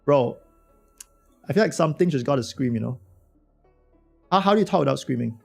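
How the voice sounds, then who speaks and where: A man streaming a game with a hoarse voice from a cold, chatting loosely and slangily with his viewers. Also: medium pitch, expressive, fairly quick, faint music behind. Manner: slangy, rambling, talks to chat. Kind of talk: casual livestream chat reacting to a game.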